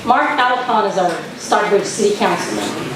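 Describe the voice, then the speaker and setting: A woman's voice: mid-range voice, animated, moderate pace. A woman from Afghanistan chairing a political convention, firm and insistent as she keeps order and gives a speech. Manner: firm, repeats thank-yous to restore order. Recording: echoey hall, distant mic, background noise.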